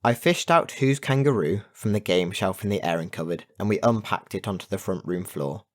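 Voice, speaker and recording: Male narrator, low register, expressive scripted storytelling for a children's audiobook. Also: brisk. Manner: expressive storytelling with put-on character voices.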